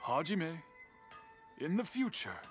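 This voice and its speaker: An actor playing a stern but kindly old master swordsmith in a video game, measured and formal in his speech. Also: a little high, wildly swooping pitch, soft background music, exaggerated voice. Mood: kindly, grave. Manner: measured, formal, gently admonishing.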